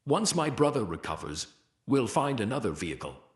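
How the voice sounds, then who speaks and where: A man's voice: medium pitch, sing-song, normal speed, lightly acted. A polite young woman in a sci-fi audiobook, read by a man. She sounds grateful and pleading, with echo around the voice.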